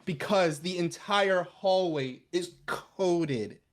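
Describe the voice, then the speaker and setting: A podcast co-host in casual comedic chat, telling stories in an animated, emphatic way. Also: mid-range voice, slow. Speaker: podcast co-host and game master.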